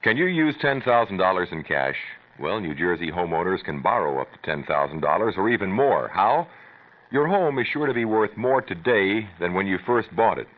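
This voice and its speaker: A man reads a radio commercial with a persuasive pitch. His voice rises and falls in a lively way. The sound is tinny and phone-like, from an old broadcast. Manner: persuasive sales pitch, slowing down for numbers. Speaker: commercial announcer. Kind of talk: radio advertisement.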